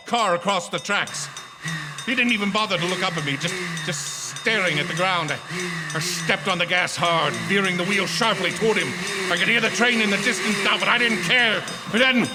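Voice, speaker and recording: A man's voice: high-pitched, moderate pace, close to an everyday voice. A man playing a haunted, anxious lone motorist in a radio drama, intense and sometimes stammering. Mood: frantic, terrified. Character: haunted, anxious lone male motorist narrating his ordeal. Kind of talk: dramatic first-person radio drama narration. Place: theatre stage. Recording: reverberant theatre hall, music underneath. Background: dramatic music.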